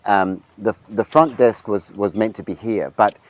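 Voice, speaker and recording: Very deep-voiced middle-aged man. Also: measured pace. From Denmark. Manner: thoughtful, with frequent ums and restarts. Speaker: hotel general manager. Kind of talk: relaxed interview. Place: hotel grounds.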